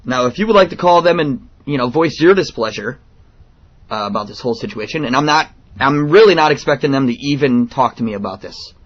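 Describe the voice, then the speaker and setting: Man, mid-pitched, talk radio host, halting, blunt, indignant, opinionated monologue, dull recording. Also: animated.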